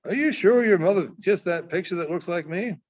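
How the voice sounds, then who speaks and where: A man voicing a kindly but commanding frontiersman in a storybook, plain-spoken and gruff in manner. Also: high voice, a little fast, close to an everyday voice. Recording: dull, muffled lo-fi sound.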